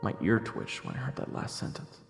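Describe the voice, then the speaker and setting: A man playing a brooding first-person narrator in a fantasy audio drama, telling the story in a grave, measured way. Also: close to an everyday voice. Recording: reverberant echo, music underneath.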